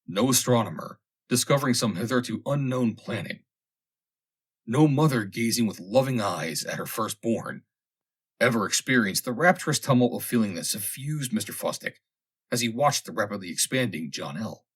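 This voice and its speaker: A man with a husky voice reading a humorous vintage story as an audiobook narrator, in a theatrical, deliberate way with emphatic phrasing. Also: mid-range voice, expressive.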